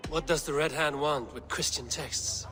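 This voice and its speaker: An actor playing a plain-spoken, determined Viking raider in a dramatic video game. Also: male voice, on the high side, fairly even intonation, moderate pace, sharp tone. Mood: calm, resolute. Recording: clear music underneath.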